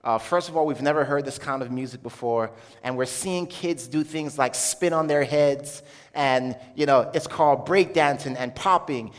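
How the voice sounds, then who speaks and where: A man giving an informal religious testimony to an audience, telling his story conversationally and often searching for words. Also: medium pitch. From Jamaica, then Brooklyn, New York from childhood. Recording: echoey hall with long reverb.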